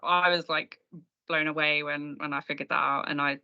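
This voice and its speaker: A woman's voice: low-pitched, fairly even intonation, normal speed, smooth. A woman chatting informally and self-deprecatingly as a speaker at an online meetup, over a video call. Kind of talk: informal Q&A chat about design software. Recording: dull, compressed online call.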